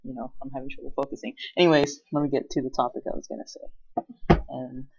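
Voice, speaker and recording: A man's voice: medium pitch, little pitch movement, a little fast, vocal fry, breathy edge, dry recording, highs cut off. A man giving a casual, unscripted show-and-tell as a hobbyist vlogger, rambling and hesitant in a self-effacing way.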